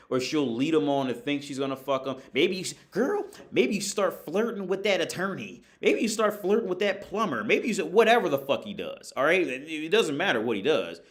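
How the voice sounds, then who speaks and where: A man from the United States hosts a casual call-in livestream. His pitch swings widely as he riffs. He talks loose and profane, often breaking into laughter.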